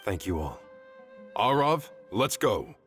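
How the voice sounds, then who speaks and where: A man's voice: on the low side, wildly swooping pitch, slow, fairly bright tone, heavily acted. A man playing a courteous, authoritative leader in a video game, formal and polite, with music behind. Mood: brisk. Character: courteous, authoritative male leader giving orders.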